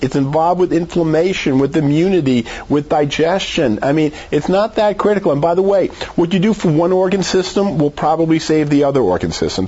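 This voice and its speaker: A man's voice: a little high, a little mellow, highs cut off. A man speaking as a medical expert in an interview, giving conversational advice in an emphatic, persuasive way. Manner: emphatic, persuasive, rhetorical questions. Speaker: doctor, expert guest.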